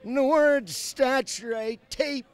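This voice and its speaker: A cranky old-timer as a man puts him on, pitched way up high and dragging every word out slowly. He whines and barks his grumbles, and the sound is tight and dry, with no room around it. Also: no echo. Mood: mock-grumpy. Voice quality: high, drawn-out and shouty. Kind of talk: comic bit in an interview.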